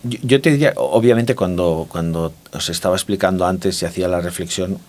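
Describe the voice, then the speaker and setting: Very deep-voiced man from Spain. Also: a little fast, darkish tone. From Barcelona, Spain. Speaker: interview guest, consumer market expert. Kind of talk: conversational interview, explanatory talk.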